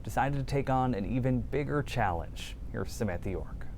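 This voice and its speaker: A man anchoring a local newscast, introducing a report and chatting afterward in a smooth, conversational way. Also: medium pitch, lively intonation, moderate pace, background noise. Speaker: news anchor.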